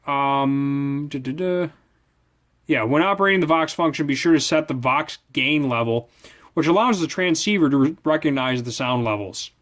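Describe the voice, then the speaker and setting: A man with a mid-pitched voice reads instructions aloud as a product reviewer. His delivery is halting, with repeated words and small stumbles. He slips between recited manual text and casual, offhand commentary. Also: a bit bright.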